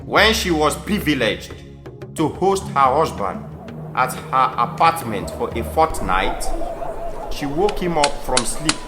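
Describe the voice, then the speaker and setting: Slow male narrator, echoey, over music. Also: mid-range voice, very animated. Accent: Sierra Leonean. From Sierra Leone. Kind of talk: scripted documentary-drama narration. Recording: echoey room under clear music.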